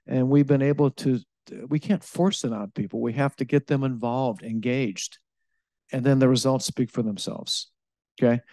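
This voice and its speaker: A man answers audience questions at a live event, his voice mellow and dark. The sound is dry and close. He stops and restarts often, fills gaps with "um", and keeps checking in with "okay?". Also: mid-range voice, fairly even intonation, no echo. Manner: halting, with many fillers, restarts and a tag "okay?". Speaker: utility innovation director answering audience questions. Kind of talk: live presentation Q&A.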